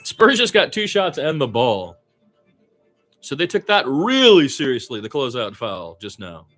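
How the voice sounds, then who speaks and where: Guy calling a hoops game radio style, chatty n opinionated, medium pitch, bit of music behind him. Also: wildly swooping pitch, measured pace, a bit bright. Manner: conversational, opinionated asides. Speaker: amateur play-by-play basketball commentator. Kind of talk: radio-style play-by-play commentary.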